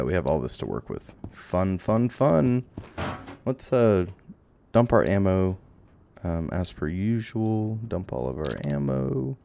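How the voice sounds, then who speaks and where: A man giving casual gameplay commentary, thinking aloud in a halting, rambling way and swearing now and then. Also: low voice, gravelly, mellow. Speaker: game streamer. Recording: audible background noise, clicks.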